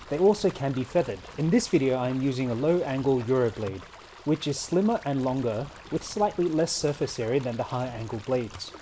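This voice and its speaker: A young man narrating a kayaking speed test in a measured, explanatory voice-over. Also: medium pitch, dark tone, slight background noise. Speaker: kayaking vlogger. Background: faint background music.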